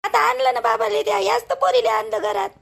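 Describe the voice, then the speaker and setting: A woman's voice: extremely high pitch, fairly even intonation, fairly quick, creaky, a bit bright, exaggerated voice. A woman playing a wronged young wife, crying and reproachful. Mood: hurt protest. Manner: sobbing, reproachful. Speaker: actor in a family drama skit.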